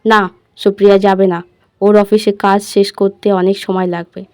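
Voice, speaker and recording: A woman's voice: mid-range voice, little pitch movement, normal speed, darkish tone, clean tone, no room sound, lightly acted. A woman voicing a domineering male office boss, curt and commanding, in an audio love story. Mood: firm. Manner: commanding, blunt.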